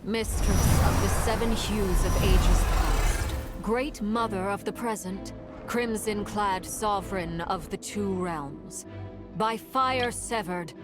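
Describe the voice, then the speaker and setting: A woman's voice: mid-range voice, slow, over loud music, lightly acted. An actress playing a solemn, composed young woman who keeps mystical rituals in a fantasy game, grave and formal in manner. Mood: incantatory, grand.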